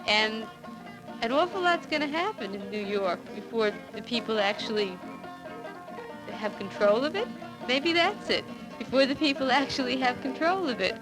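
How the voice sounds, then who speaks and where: American woman, higher-voiced activist, earnest documentary delivery on old film sound with music underneath. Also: animated, moderate pace, low-quality recording. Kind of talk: earnest documentary interview and commentary.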